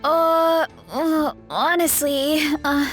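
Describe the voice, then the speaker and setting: A woman's voice: high-pitched, fairly even intonation, extremely slow, soft background music. A woman playing a sweet, spacey, food-loving young woman warrior in a video game, with a hesitant manner. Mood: sheepish, distracted. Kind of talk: video game character acting.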